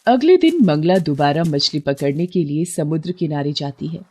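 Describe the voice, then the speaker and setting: A woman's voice: low-pitched, lively intonation, moderate pace, over music. A woman narrating a cartoon moral story in a dramatic, emphatic way.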